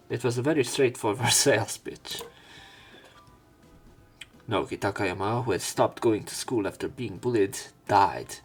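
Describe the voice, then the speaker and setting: A man reading visual novel text aloud as a let's play narrator, breaking off into halting, wry casual asides. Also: lowish voice, slightly rough. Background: faint game music.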